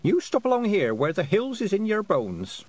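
A man's voice: high voice, huge pitch swings, moderate pace, darkish tone, pitched above the performer's own voice, heavily acted. A man voicing a homely, plain-spoken old country grandmother in a storybook, with rustic turns of speech. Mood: homely, wise. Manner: rustic dialect grammar.

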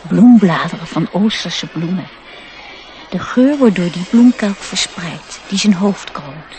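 A woman playing a proud, earnest young male elf in a radio play, lofty and formal, with a husky voice. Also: fairly low pitch, animated, unhurried, darkish tone, a little hiss or hum. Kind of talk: Dutch radio play acting.

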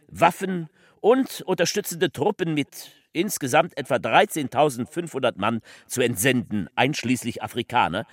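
Casting: a fervent male orator for radio drama, emphatic, pausing for weight, highly animated pitch. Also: no room sound. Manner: declamatory, deliberate pauses, emphatic swells and drops. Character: fervent male politician delivering a rambling, faltering public speech. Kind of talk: theatrical political oratory.

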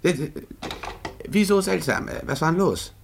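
An actor playing an eager, pedantic investigator's assistant in a 1950s German radio drama, fussy and excitable, with a husky voice. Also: male voice, a little high, moderate pace, slight background noise. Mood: curious, pedantic. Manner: fussy, excitable, stammering with interjections. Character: eager, pedantic male assistant investigator, bored and craving a murder.

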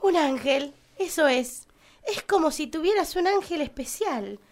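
A woman's voice: high-pitched, lively intonation, measured pace. A woman playing a gushing, flirtatious small-town councillor in a satirical radio drama.

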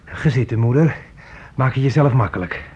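A man's voice: medium pitch, lively intonation, moderate pace, a little mellow, husky. An actor playing a menacing, bitter grown son who taunts and bosses his mother around in a radio play. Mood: taunting. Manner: taunting, bossy, mock-friendly. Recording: muffled old radio play recording.

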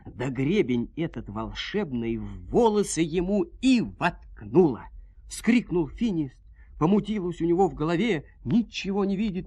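Expressive, higher-pitched male fairy-tale storyteller. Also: husky, no room sound. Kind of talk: dramatic scripted fairy-tale narration.

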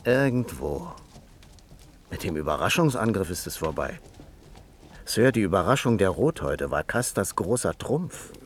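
Gloomy blunt army scout, western radio play, very lively pitch, bit of background noise. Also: male voice, moderate pace. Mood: wary, gloomy. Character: wary, blunt male frontier army scout. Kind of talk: German radio play acting.

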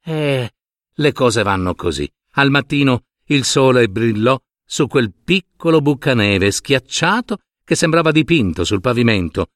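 Animated male storyteller, dry sound. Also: medium pitch, unhurried, no room sound. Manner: theatrical, with conversational asides. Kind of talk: expressive fairy tale narration.

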